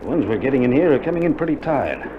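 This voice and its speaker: An actor playing a reassuring Australian army doctor in a war radio drama, speaking in casual slang and playing down danger. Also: male voice, medium pitch, sing-song, fast, close to an everyday voice. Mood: reassuring, offhand. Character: breezy, reassuring male army doctor in wartime field hospital. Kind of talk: 1960s radio drama acting. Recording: muffled old vinyl drama, loud battle noise.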